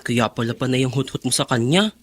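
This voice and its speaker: A woman's voice: very deep voice, quick pace. A woman acting a conscientious young friend, chiding and earnest, in a radio drama. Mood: reproachful.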